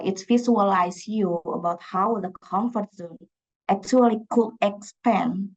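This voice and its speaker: A woman's voice: mid-range voice, a little mellow, lo-fi sound. Indonesian-accented woman giving a hesitant talk. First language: Javanese. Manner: hesitant, frequent fillers and restarts. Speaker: PhD student presenter. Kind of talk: conference talk. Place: conference room.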